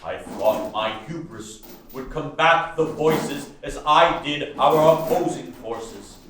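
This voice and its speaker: A man acting in a radio play as the doomed, solemn ghost of a dead soldier turned businessman, with a drawn-out theatrical delivery. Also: on the high side, fairly bright tone. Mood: anguished, solemn. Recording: echoey, distant stage recording with noise.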